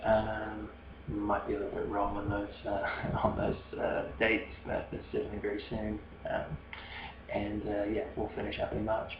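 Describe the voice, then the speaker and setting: A man's voice: on the low side, monotone, moderate pace, reverberant, dull audio, noisy background. A New Zealand man hosting a solo podcast, rambling and hesitant, with many fillers and restarts. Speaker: podcast host.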